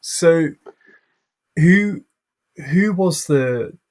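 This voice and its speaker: A young man hosting a casual podcast interview, friendly and hesitant with frequent pauses and restarts. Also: a little high, animated, a little mellow. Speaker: podcast host.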